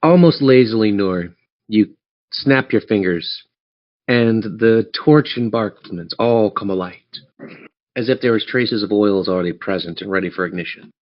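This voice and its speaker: A man with a fairly low voice, heard through slightly muffled lo-fi audio. He is a game master narrating a tabletop adventure, setting scenes with measured, theatrical storytelling. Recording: dull lo-fi audio.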